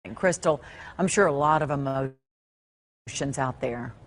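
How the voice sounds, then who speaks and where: A woman's voice: very deep voice, measured pace. An American woman reading scripted news as a television anchor.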